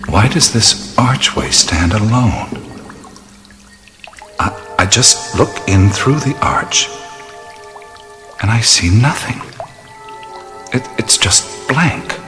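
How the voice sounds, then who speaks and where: An actor playing an awestruck explorer in a radio drama, musing haltingly and often breathily as he discovers mysterious things. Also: fairly low pitch, lively intonation, a bit bright. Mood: entranced wonder. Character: awestruck male adventurer exploring mysterious ruins, wondering and hesitant. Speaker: lead actor in an audio drama. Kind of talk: radio drama acting, first-person narration of discoveries. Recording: music bed behind the voice. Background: music.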